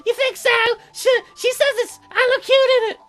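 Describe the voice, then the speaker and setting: Super high squeaky ditzy teen girl, bright n gushing, game character, faint music. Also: fairly even intonation, normal speed. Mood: gushing, curious. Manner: exaggerated, gushing, childlike wonder. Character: gushing, naive, ditzy teenage girl in a dating sim.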